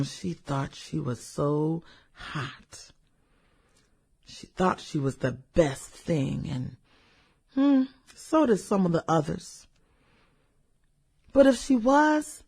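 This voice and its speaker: An actress playing a brooding, vengeful woman musing over old classmates in a radio drama, hesitant and husky. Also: on the low side, exaggerated voice. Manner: musing, hesitant, chuckles and hums between thoughts. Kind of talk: radio drama acting, conversational monologue.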